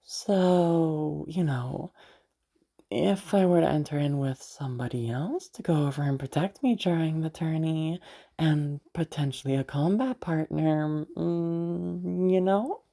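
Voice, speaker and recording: A woman acts out a young noblewoman mage in an intimate audio roleplay. Her voice is low and mellow, with a gravelly fry through it. She talks in halting, stammering bursts, flustered yet fondly protective of her knight. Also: full character voice. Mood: playful, affectionate. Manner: halting, stammering, giggly, mild swearing.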